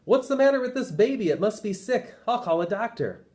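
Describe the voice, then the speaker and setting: A man's voice: expressive, normal speed, darkish tone. A man voicing flustered, exasperated school staff in a read-aloud storybook. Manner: high exasperated exclaiming. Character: flustered loud schoolteacher and principal.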